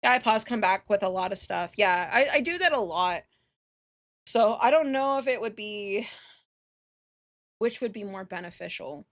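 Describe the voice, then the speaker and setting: A woman hosting a casual game livestream, chatting bluntly and irreverently and reading on-screen text aloud in an animated way. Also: mid-range voice, normal speed, long pauses, dry recording, clean studio sound. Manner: foul-mouthed, irreverent, blunt. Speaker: live streamer hosting a visual novel playthrough.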